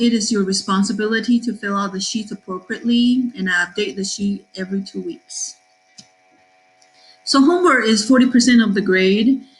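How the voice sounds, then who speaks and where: A middle-aged woman from Taiwan, a math teacher, giving a cheerful class introduction with frequent ums and pauses. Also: medium pitch, rough recording. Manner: cheerful, with many fillers and pauses.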